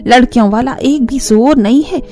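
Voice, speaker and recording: A woman voicing a sharp-tongued, disapproving old grandmother who nags and taunts in a family cartoon. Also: high-pitched, normal speed, faint music behind. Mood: scolding, taunting. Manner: taunting, nagging. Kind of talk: Hindi family cartoon voice acting.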